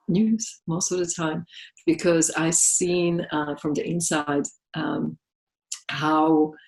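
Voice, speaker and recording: A woman's voice: sits low, poor audio. A middle-aged woman from Slovakia with a Slovak accent, chatting with lots of fillers as a guest in an interview. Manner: chatty and animated, with frequent fillers and laughs. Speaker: guest, massage therapist and business owner. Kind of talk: casual interview chat.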